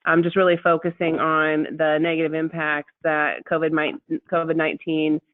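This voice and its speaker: An American woman giving an unscripted update as a health official on a conference call, explaining things with hesitant pauses. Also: low-pitched, level tone, dry recording. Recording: thin, phone-like conference call line.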